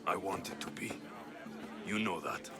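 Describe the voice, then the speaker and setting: An actor playing a young Greek mercenary hero in a game cutscene, steady and resolute. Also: male voice, deep voice, measured pace, very noisy. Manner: steady, rising to rousing shouts. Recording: game cutscene with music behind.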